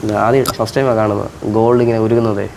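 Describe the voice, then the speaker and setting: A man's voice: lowish voice, steady tone, racing, heavy background noise. A man chatting casually and playfully as a prank host, teasing and repeating his questions insistently.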